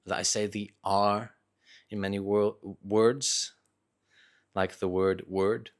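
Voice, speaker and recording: A man with a Northern English accent and a slight Dutch influence, talking casually and unscripted in a reflective, halting way, often correcting himself. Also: lowish voice, little pitch movement. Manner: hesitant, reflective, halting phrasing with self-corrections. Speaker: vlogger sharing reading thoughts.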